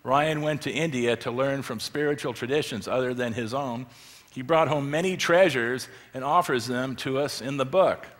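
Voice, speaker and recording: A man's voice: medium pitch, normal speed, a bit bright. Middle-aged man lecturing in a reverberant church. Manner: conversational, many fillers and rhetorical questions; moves about gesturing with a remote. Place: church stage with a podium. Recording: long hall reverb.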